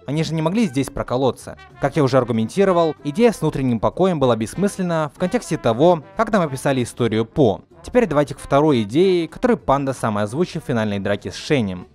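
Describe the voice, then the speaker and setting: Animated young man arguing over music. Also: mid-range voice, fast talker, few pauses. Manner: argumentative, emphatic. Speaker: video essayist. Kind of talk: opinionated film critique narration. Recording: music behind the voice. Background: faint background music.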